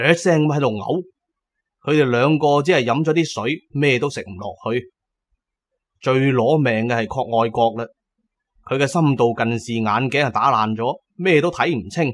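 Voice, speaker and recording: Male storyteller, mid-pitched, first-person dramatic audiobook narration.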